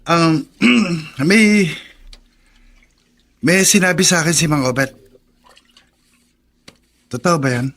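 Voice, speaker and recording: A man's voice: on the high side, slow, long pauses, fairly bright tone, heavily acted. A man playing a shy, lovestruck young construction worker in a radio drama, with a hesitant, stammering delivery.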